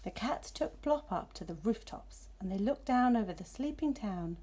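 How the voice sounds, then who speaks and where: Mellow, lilting woman reading a storybook. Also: mid-range voice, animated, moderate pace, slight background noise. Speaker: storybook reader. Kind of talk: expressive children's story narration.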